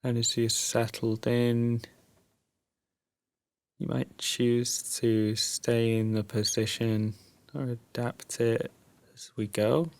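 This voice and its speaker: Very slow, soft male meditation guide. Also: mid-range voice, little pitch movement. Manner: soft, drowsy, soothing, with long pauses. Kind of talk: guided sleep meditation, Yoga Nidra.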